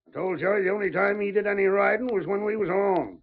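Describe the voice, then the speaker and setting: An actor playing a gruff, bossy outlaw leader, blustering in folksy American cowboy talk in old radio style. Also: male voice, sits high, fast talker. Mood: dismissive. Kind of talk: old-time radio western acting. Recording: tinny, band-limited vintage radio recording.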